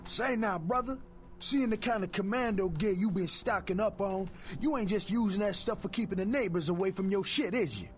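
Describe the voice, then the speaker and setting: Sleazy street fence in a game, high and slick, streetwise sales patter, voiced by a man. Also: normal speed, faint music behind, natural voice. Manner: slangy streetwise hustler patter. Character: fast-talking sleazy heavyset male street fence. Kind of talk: video game character dialogue.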